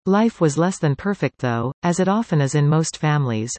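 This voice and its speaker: A woman in her forties from Canada reading a personal essay aloud, with careful, clearly enunciated speech. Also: low-pitched, lively intonation, moderate pace, darkish tone, no echo. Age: 40s.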